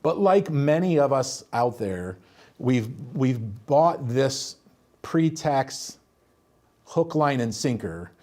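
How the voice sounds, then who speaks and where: A man's voice: mid-range voice, very animated, slow, darkish tone. A man, a financial advisor, explaining retirement tax planning steadily and walking through number examples.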